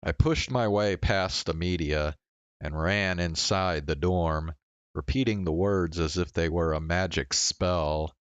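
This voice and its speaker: A man's voice: low voice, measured pace, smooth, no room sound. A man reading visual novel narration aloud in a dramatic first-person storytelling style. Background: faint game music.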